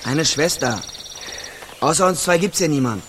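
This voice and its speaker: An actor playing a guarded, evasive poor backwoods man in a radio drama, speaking in terse, halting colloquial phrases. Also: on the high side, lively intonation, noisy background, natural voice. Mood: wary, insistent. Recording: outdoor birds and insects behind voice.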